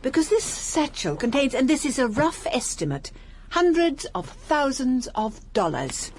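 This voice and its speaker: A woman's voice: high voice, very animated, unhurried, slight background noise. A woman playing an unflappable, drily witty secretary in a radio drama, with a precise, formal manner. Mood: composed, concerned.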